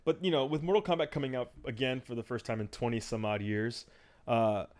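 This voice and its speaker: A man's voice: medium pitch, fairly even intonation, brisk, darkish tone. A man hosting a casual podcast chat, rambling and joking as he introduces topics. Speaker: podcast host.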